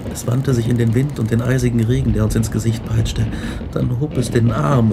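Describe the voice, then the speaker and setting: Ein Schauspieler spielt in einem Hörspiel einen gequälten, reumütigen jungen Wissenschaftler, der ernst und grüblerisch in literarischer Sprache erzählt. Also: male voice, mid-range voice, creaky, heavy background noise, lightly acted. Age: young adult. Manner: brooding, literary, earnest. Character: haunted, remorseful young male scientist. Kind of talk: radio drama narration and dialogue.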